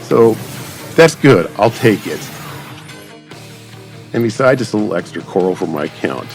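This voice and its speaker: Deep-voiced man commentating over music. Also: lively intonation, moderate pace. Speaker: gaming YouTuber. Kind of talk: casual gameplay commentary. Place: voice-over on game footage.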